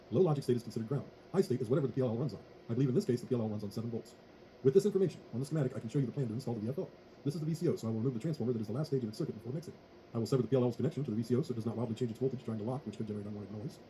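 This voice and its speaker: A man's voice: medium pitch, racing, warm tone, slight background noise, poor audio. A man narrating a scripted technical tutorial in a formal, methodical way. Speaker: tutorial presenter.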